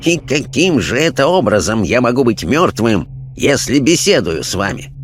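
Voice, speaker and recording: A man voicing a pompous, pious gentleman ghost in an audiobook, speaking formally with offended haughtiness. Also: mid-range voice, big pitch swings, normal speed, slight background noise, voice pushed up, close to an everyday voice. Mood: indignant.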